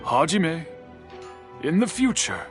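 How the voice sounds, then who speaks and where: A man voicing a stern but kindly older master swordsmith in a video game, speaking in a measured, formal, instructive way. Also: a little high, huge pitch swings, faint music behind, exaggerated voice. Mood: calm, dignified.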